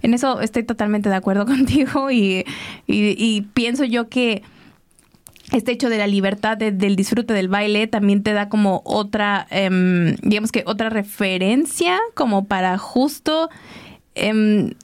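A woman hosting a casual radio interview, often affirming her guests with soft laughs and fillers. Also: medium pitch, a little slow. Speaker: radio show host.

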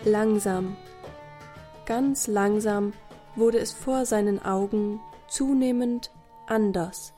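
A woman's voice: medium pitch, slow, dark tone. Woman storyteller over guitar music. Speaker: narrator. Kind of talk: scripted storytelling for a children's radio play.

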